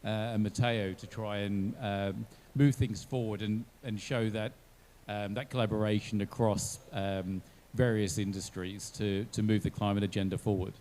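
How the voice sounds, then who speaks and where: A man's voice: lowish voice, steady tone, moderate pace, hardly any pauses, darkish tone, slight background noise. A man on a panel who thinks aloud as he talks, often restarting his sentences. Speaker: panelist from a blockchain company. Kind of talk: online panel discussion.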